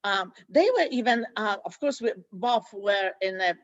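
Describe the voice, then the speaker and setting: Non-native English-speaking woman from Poland, school director in an interview; halting delivery with frequent fillers. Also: mid-range voice, no echo, muffled recording. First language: Polish. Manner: hesitant, many fillers and restarts. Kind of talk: interview conversation.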